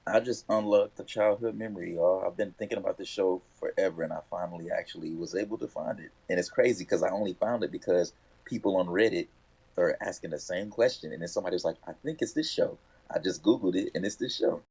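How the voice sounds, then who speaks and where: Fast-talking husky-voiced man. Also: sits low, rough recording. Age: 30s. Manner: excited, slangy storytelling. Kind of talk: casual chat.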